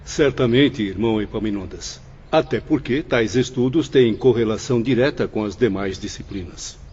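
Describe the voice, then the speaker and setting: A man's voice: mid-range voice, moderate pace, a little mellow, slight background noise, natural voice. A man playing a sensitive, sorrowful student, speaking in earnest, formal lines for an audio drama. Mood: respectful agreement. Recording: dull lo-fi, faint background ambience.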